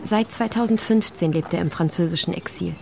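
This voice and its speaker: A woman's voice: fairly low pitch, brisk, vocal fry, a little mellow, slight background noise. A woman delivering scripted narration for a documentary radio feature.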